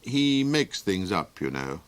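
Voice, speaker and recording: A man voicing a calm, knowing servant who speaks in a dry, matter-of-fact way. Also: deep voice, takes it slowly, voice pushed down, exaggerated voice. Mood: wry.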